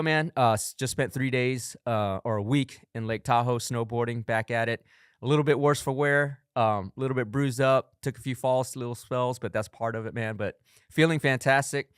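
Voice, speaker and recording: Upbeat, smooth-voiced podcast host. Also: male voice, mid-range voice, no echo, studio quality. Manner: upbeat, enthusiastic storyteller. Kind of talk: motivational podcast monologue. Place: studio.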